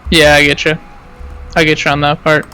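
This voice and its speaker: A man's voice: on the high side, monotone, brisk, pushed and bright. An easygoing, agreeable man chatting casually as a companion on a gaming stream, over noisy audio. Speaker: co-commentator in gaming chat. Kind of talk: casual chat.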